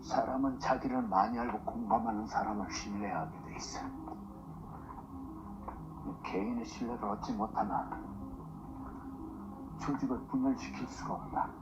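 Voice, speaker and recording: A male actor plays a hardened man in a gritty crime drama. His low voice races along, dulled by playback with heavy noise behind it. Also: racing, long pauses, natural voice. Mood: grave. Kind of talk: Korean crime drama screen acting. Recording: muffled playback with loud background noise.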